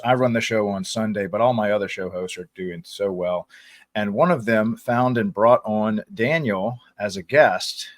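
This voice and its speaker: A man's voice: lowish voice, dry recording. A man hosting an online interview show over a remote call, talking casually and rambling between questions. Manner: chatty, rambling, friendly questions. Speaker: podcast host. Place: home in New Orleans.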